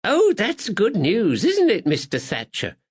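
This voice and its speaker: High, fluttery older lady in a storybook, gushing and eager, voiced by a man. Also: huge pitch swings, measured pace, slightly rough, full character voice. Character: timid, kindly older spinster woman.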